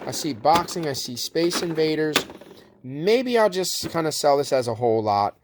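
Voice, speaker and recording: A man's voice: a little high, animated, moderate pace. Casual rambling man over background noise. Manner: rambling, unsure, thinking aloud. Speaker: online reseller showing thrift finds. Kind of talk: casual unscripted show-and-tell chat.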